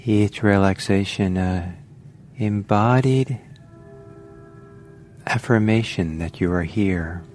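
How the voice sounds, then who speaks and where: A man leading a guided meditation as a teacher, speaking gently in short phrases with long pauses. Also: lowish voice, faint music behind. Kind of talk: guided meditation, slow spoken instructions. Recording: faint background noise.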